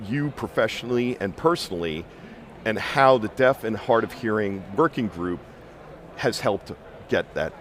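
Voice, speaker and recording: A man's voice: on the low side, a little hiss or hum. A man hosting a conference interview, asking friendly, conversational questions with a few restarts. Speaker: interview host.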